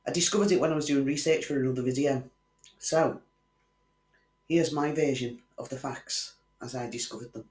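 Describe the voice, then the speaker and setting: A man's voice: medium pitch, moderate pace, darkish tone. Wry man narrating true crime. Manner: wry, conversational asides to the viewer. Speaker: true crime channel host. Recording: echoey room.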